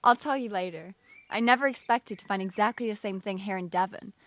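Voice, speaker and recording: A woman playing an outspoken, warm-hearted young American wartime worker in an audio drama, chatty and emphatic. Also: medium pitch, brisk, slight background noise, close to an everyday voice. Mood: indignant.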